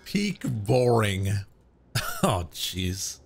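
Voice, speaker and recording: A young man streaming a game, chatting casually and joking with viewers, his throat a little hoarse. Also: lowish voice, big pitch swings, extremely slow, a little hiss or hum. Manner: joking, self-mocking, musing aloud. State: slightly hoarse. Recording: faint game music behind.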